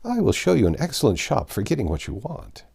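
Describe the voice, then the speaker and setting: This is a shrewd storybook detective, voiced by a man, suspicious beneath a polite surface. He prods in a measured, inquisitive way, with pitch that rises and falls freely. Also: wildly swooping pitch, vocal fry, a little mellow, husky, full character voice. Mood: helpful, patient. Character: shrewd, suspicious male detective, probing slyly.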